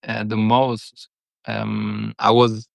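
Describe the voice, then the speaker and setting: Low gravelly-voiced young man from Germany. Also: completely flat delivery, very slow, dry recording. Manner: hesitant, many fillers and restarts. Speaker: interview guest, football captain. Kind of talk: casual interview chat.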